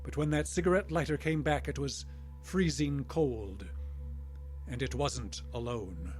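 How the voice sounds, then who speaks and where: A man plays a captive older scientist in a radio drama, guarded and stubborn. His mid-pitched voice carries a terse resolve over faint music. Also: measured pace, darkish tone, close to an everyday voice. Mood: defiant, explaining. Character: defiant, wary older scientist held captive.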